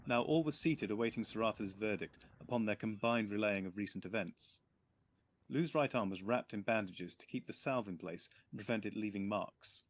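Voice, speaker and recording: A man narrating an audiobook in a measured storytelling manner, with lively character voices. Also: lowish voice. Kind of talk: reading an audiobook aloud.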